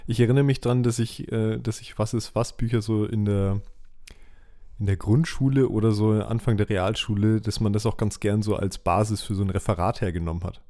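A man's voice: fairly low pitch, fairly even intonation, brisk, warm tone. A young adult man co-hosting a podcast, chatting casually and nostalgically in hesitant, circling phrases. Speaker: podcast co-host.

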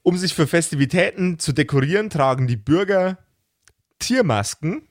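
Emphatic man, slightly higher voice. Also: animated, normal speed, no room sound, clean studio sound. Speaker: podcast host and game master. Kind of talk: casual group discussion of a role-play campaign.

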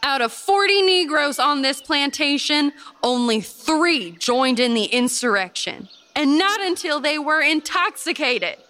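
High-voiced, earnest 1830s gentlewoman. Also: animated, slight background noise, heavily acted. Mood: firm, defiant. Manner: formal, measured storytelling, firm. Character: earnest, devout 1830s Virginia gentlewoman giving testimony. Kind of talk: dramatized historical interview.

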